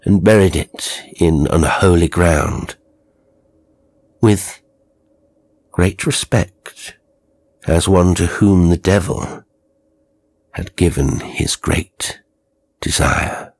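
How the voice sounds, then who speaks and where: A man's voice: low voice, very slow, pauses often, a little mellow, dry recording. A man narrating a horror story in a grave, theatrical, dramatic scripted style.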